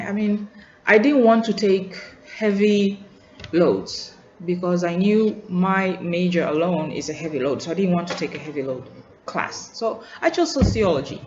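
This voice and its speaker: A young woman from Tanzania with a Tanzanian English accent, thinking aloud in an unscripted vlog, hesitant and full of restarts and trailing asides. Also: medium pitch. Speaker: student vlogger. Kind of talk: reflective unscripted monologue. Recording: roomy, dull lo-fi sound with faint hiss.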